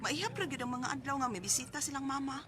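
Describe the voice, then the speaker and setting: A woman's voice: high voice, quick pace, lightly acted. An actress playing a devoted single daughter who cares for her sick mother, in a radio drama. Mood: caring, aggrieved. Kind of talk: radio drama acting with letter narration.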